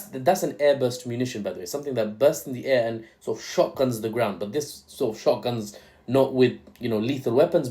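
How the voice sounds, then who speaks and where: A man's voice: medium pitch, darkish tone. Joking young man commenting on news. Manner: joking, irreverent asides.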